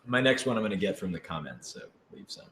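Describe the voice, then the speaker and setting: A man's voice: low-pitched, big pitch swings, fast talker, a bit bright. A young man hosting a casual group question session, chatting in a jokey way and prone to laughing. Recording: roomy, echoey room with clear noise.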